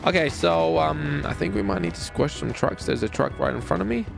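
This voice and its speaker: Male gamer, excited roleplay commentary, swooping intonation, playful, theatrical, repetitive exclamations, prominent background music. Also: fairly high pitch, fairly quick, vocal fry. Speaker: gamer and video host.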